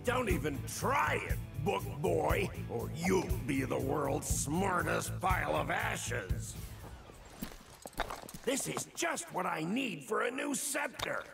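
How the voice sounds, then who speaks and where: Gravelly high-pitched sorcerer villain, drawling and slow, wild swoops in pitch, game music behind. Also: male voice, very animated, exaggerated voice. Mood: gloating. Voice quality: gravelly, gloating, shouting. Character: small scheming sorcerer villain, male adult.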